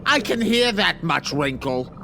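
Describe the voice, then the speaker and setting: A man's voice: high voice, big pitch swings, a little slow, gravelly, over music, exaggerated voice. An actor playing a flamboyant, gleeful and unhinged villain king in an audio drama, theatrical and gloating. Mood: flamboyant, demanding. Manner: theatrical, gloating, swooping between shouts and mutters. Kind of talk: scripted audio drama acting.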